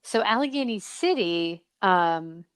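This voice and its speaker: A woman's voice: fairly low pitch, lively intonation, slow pace, clean tone, no room sound, clean studio sound. A woman hosting a podcast in a casual, chatty and playful way, with exclamations as she runs quizzes and interviews. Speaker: podcast host.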